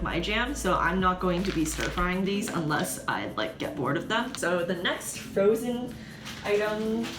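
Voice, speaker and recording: A chatty young woman vlogging, her slightly low voice rambling along with plenty of filler words. The room rings around her, and music plays clearly underneath. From San Francisco Bay Area. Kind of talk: casual food haul vlog. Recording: roomy sound with background music.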